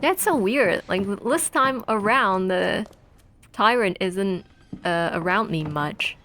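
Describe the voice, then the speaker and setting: Slow, rambling woman. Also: mid-range voice, expressive, fairly bright tone, a little hiss or hum. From Taiwan. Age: 20s. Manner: rambling, chatty storytelling with many pauses. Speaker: let's play gamer. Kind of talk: casual chat while playing a game.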